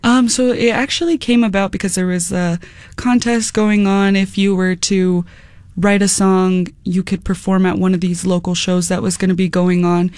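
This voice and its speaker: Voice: mid-range voice, flat delivery, moderate pace, dry recording. Dry-sounding young American singer. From United States. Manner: soft-spoken, many fillers and laughs. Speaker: musician guest. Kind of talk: casual interview.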